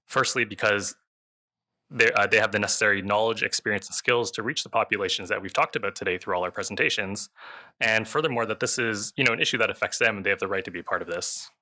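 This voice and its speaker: A man's voice: on the low side, fast talker, bright, forceful tone. A man moderating a panel, giving a prepared introduction to an audience.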